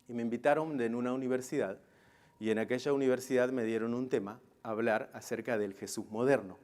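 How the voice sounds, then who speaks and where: A man's voice: mid-range voice, a little mellow. Questioning male Bible teacher addressing a class. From Israel. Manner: didactic, questioning, often checking listeners follow. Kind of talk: teaching to a live class, asking rhetorical questions.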